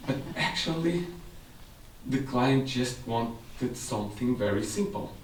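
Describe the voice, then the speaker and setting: A man's voice: medium pitch, expressive, takes it slowly, slight background noise. A man in his thirties with a Portuguese accent, giving a technical presentation at a seminar in a room with a large audience. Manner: explanatory, slightly non-native phrasing. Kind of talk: conference presentation. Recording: echoey room from far off.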